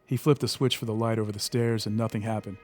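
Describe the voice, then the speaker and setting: A man's voice: on the low side, level tone, fast talker, a little mellow, faint music behind. A man narrating a scripted audio drama in the past tense as storyteller.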